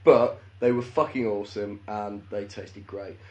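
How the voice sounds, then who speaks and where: A man in his thirties from the English Midlands, co-hosting a comedy podcast with crude, deadpan rants. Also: on the low side, unhurried, highs cut off. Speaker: podcast co-host. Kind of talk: casual comedic chat.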